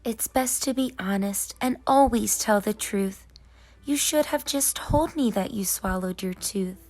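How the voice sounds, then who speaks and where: A woman's voice: mid-range voice, measured pace, a little mellow, soft background music. Woman reading as a whimsical storybook tooth fairy. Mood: gently scolding. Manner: sing-song rhyming delivery. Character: female tooth fairy, busy, whimsical, gently scolding. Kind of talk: children's storybook narration in character.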